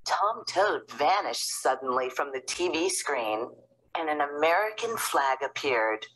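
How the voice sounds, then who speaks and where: A woman reading a children's storybook aloud in an expressive narrating style. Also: low-pitched, measured pace, low-quality recording. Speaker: storybook reader.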